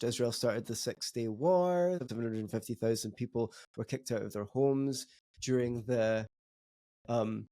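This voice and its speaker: Mellow-voiced man, dry close sound. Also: medium pitch, no room sound. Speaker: podcast guest. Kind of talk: personal testimony in conversation.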